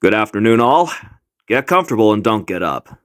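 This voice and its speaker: A man's voice: fairly low pitch, sing-song, fairly quick, clear, resonant tone. A man playing a stern, sarcastic history teacher in a comic dub, dry and sardonic. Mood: dry sarcasm. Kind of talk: comic fandub acting.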